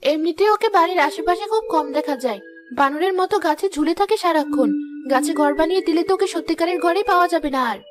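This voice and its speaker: A woman's voice: very high-pitched, fast talker, music in the background. A woman voicing an anxious, scolding village mother in a cartoon fairy tale. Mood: exasperated. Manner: exasperated, nagging.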